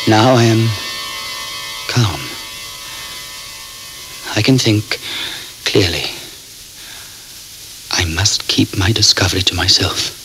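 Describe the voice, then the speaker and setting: A man's voice: sharp tone, a little hiss or hum, full character voice, rough recording. An actor playing an anxious, educated young Englishman held prisoner, speaking formally and precisely in a radio drama. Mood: shaken, controlled. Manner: precise, formal, highly strung. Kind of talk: radio drama acting, first-person journal narration.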